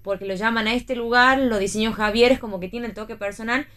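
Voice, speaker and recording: A woman's voice: on the high side, fairly even intonation, quick pace, fairly bright tone. A woman with an Argentine accent in a casual radio discussion about theater, thinking aloud, hedging and rephrasing as she goes. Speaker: theater panelist.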